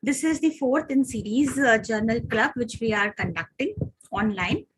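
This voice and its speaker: A woman with an Indian English accent moderating an online medical journal club over a video call, formal with frequent "uh" pauses. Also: fairly high pitch, slight background noise, rough recording.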